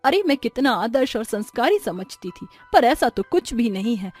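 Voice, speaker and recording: A woman's voice: on the high side, sing-song, normal speed, darkish tone, breathy edge, soft background music. Woman voicing an indignant, scolding Indian mother-in-law. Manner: indignant, reproachful. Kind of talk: animated storybook dialogue.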